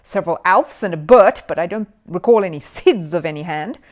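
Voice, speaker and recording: Woman playing an officious storybook policeman, animated delivery. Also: on the low side, lightly acted. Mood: puzzled. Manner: confidential, hesitant at times, brisk. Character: male police detective, officious, easily surprised. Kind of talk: children's storybook character voice.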